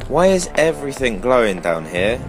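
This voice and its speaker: A man's voice: medium pitch, very animated, moderate pace, music in the background, lightly acted. A man playing a naive, plain-spoken young adventurer with a casual manner in a comedic fantasy radio play. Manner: casual, colloquial.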